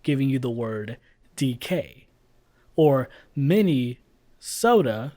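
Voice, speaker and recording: A man's voice: medium pitch, expressive, takes it slowly, dark tone. A man giving casual voice-over commentary as a video reviewer, blunt and sarcastic, swearing freely. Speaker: YouTube reviewer.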